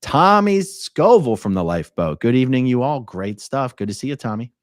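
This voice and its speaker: A man's voice: medium pitch, lively intonation, a little fast, dry recording, studio quality. A man hosting a live stream, reading viewer messages and commenting in a casual, blunt, conversational way.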